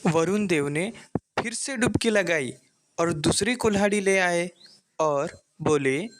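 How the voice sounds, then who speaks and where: A man's voice: high voice, slow, noisy background. A man narrating a scripted children's folktale as the storyteller.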